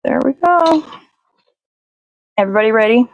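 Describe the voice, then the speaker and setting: A woman's voice: fairly high pitch, expressive, moderate pace, no room sound, highs cut off. A woman hosting a casual puppet show livestream, chatting in an informal, rambling way.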